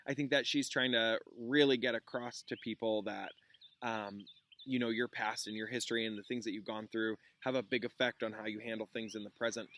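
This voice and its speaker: A man's voice: on the low side, steady tone, a little fast, fairly bright tone, slight background noise. A man, a classroom teacher, reading a story aloud and adding explanatory asides.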